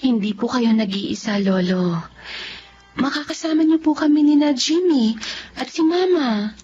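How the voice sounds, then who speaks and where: Mellow, tender Filipino older sister. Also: female voice, high voice, lively intonation, a little slow. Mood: reassuring. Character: tender, protective older sister in her twenties. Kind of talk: Filipino radio drama acting. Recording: dull lo-fi audio with music.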